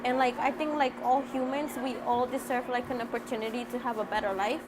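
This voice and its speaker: A young woman with a fairly high voice, recorded in a clearly noisy place with ambient sound. She speaks casually and hesitantly, like someone being interviewed for the news. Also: fairly even intonation, brisk.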